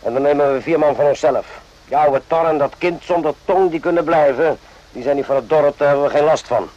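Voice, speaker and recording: Man playing gruff army sergeant, higher-pitched voice. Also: a little fast, lightly acted. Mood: sardonic. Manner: blunt, scornful, slangy. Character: gruff, cynical, sarcastic male army sergeant, old hand. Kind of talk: radio play acting. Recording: dull lo-fi old radio play.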